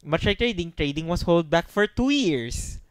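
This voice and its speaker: A young man co-hosting a casual podcast, talking quickly and laughing as he thinks out loud, into a handheld mic in a small padded room. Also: fairly high pitch, animated, clear, resonant tone, dry recording, studio quality. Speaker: podcast co-host. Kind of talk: casual chat. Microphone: handheld mic with headphones.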